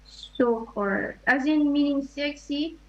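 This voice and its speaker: A teenage girl from Jamaica, interviewed over a video call, answering softly and hesitantly. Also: sits high, slow pace. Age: late teens. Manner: soft, hesitant, many ums. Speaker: student guest. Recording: dull lo-fi video call audio.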